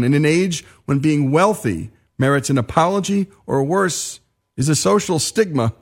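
A man hosting a radio talk show, narrating in an emphatic, conversational way with frequent asides. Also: a little high.

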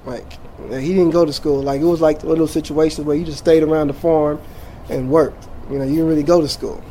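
A relaxed man in his forties, from Jamaica, talks loosely with lots of fillers and restarts, his voice sitting a touch high for a man. A faint hum of room ambience sits under him. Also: brisk, low-quality recording. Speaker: teacher. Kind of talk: casual interview.

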